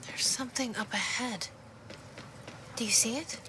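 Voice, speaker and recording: An actress playing a determined, guarded young woman in a video game, speaking in a hushed, intimate inner-monologue style. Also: on the high side, unhurried, background noise, lightly acted. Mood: focused, guarded. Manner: hushed, intimate, often half-whispered.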